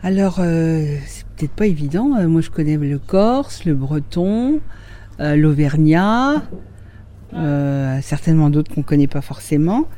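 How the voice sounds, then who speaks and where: A man's voice: fairly high pitch, lively intonation, a little slow, dark tone. A man from the Paris region answering street quiz questions, thinking aloud with hesitations. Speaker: passer-by.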